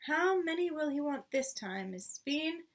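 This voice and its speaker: Woman playing a big grumbling servant, high-pitched. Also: animated, a little slow, recorded from a distance. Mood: calling out. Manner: shouting, blunt, grumbling. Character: huge, grumbling servant woman with rolling pin.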